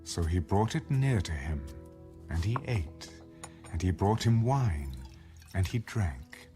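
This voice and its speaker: A man narrating a dramatised audio Bible as scripted storytelling, with measured phrasing. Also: sits low, sing-song. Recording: background music under the voice.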